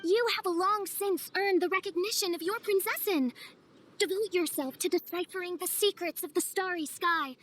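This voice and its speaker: A woman's voice: extremely high pitch, normal speed, a bit bright. A woman voicing a grandiose teenage princess in a video game, speaking in a pompous, archaic and theatrical way. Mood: regal, grandiose. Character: grandiose teenage girl, self-styled princess. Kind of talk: game cutscene acting.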